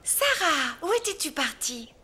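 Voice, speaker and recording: A woman's voice: high voice, sing-song, a little slow, pushed and bright, heavily acted. A woman voicing an overworked, caring animal nurse in a children's cartoon, with a busy, exasperated manner. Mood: concerned.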